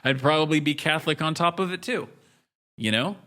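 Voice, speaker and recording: Blunt man with a bright, forceful voice. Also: on the high side, expressive, a little fast. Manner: blunt and profane, speaks in bursts with restarts. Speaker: podcast guest. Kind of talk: candid podcast conversation.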